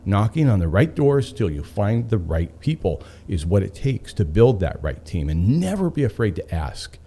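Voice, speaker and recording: Male motivational host: mellow tone, highly animated pitch, emphatic storyteller who leans on repetition. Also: moderate pace, husky. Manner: emphatic, repeating phrases for effect. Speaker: podcast host and author.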